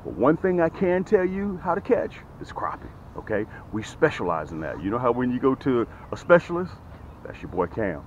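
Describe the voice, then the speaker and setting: A middle-aged man recording outdoors at a lakeshore, talking straight into a camera held close, pitching his fishing gear and repeating "okay?" and "guys" often. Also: normal speed, dull audio, slight background noise. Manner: animated, repeats "okay?" and "guys", gestures with hands. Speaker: fishing vlogger and tackle seller. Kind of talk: energetic sales pitch and fishing vlog. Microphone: camera held close to the face.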